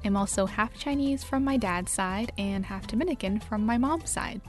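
A woman's voice: mid-range voice, normal speed, soft background music. A woman journalist from New York reading a scripted first-person account of her childhood, with vivid recollection.

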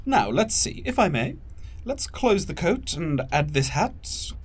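An actor playing a courteous, reassuring gentleman attendant in an audio drama, speaking politely and formally with gentle concern. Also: fairly high pitch, very animated, a little slow, slightly rough, exaggerated voice. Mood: courteous, pleased.

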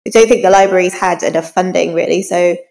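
Woman in her forties, Northern Irish accent, creaky voice, slightly blocked-up with a cold, conversational, long reverb. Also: lowish voice, steady tone, fast talker, a little mellow. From rural Northern Ireland. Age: 40s. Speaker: crime novelist, interview guest. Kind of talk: casual conversational interview.

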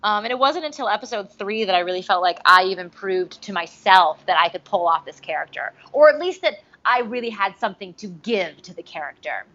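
A woman's voice: medium pitch, brisk, few pauses, muffled recording. A woman, a voice actress, telling stories in a casual interview, chatty and full of "like" fillers. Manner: chatty, many "like" fillers, animated re-enacted quotes.

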